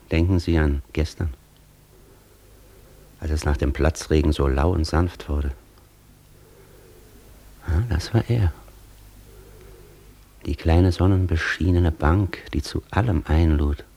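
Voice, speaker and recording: Deep-voiced, theatrical old-world professor. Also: male voice, darkish tone. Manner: theatrical, musing, swinging emphasis. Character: learned professor and master, Faust-like, worldly, eloquent, imperious. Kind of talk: German radio play acting.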